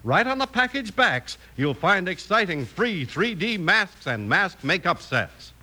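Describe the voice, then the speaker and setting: An American man working as an announcer, delivering 1950s radio advertising with emphatic, excited salesmanship. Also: high-pitched, unhurried.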